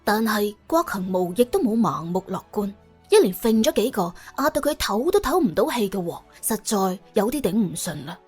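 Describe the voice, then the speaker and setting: Very animated husky woman storyteller. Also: medium pitch, normal speed, faint music behind. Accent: Hong Kong or Guangdong Cantonese. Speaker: audiobook narrator. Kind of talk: colourful scripted story narration.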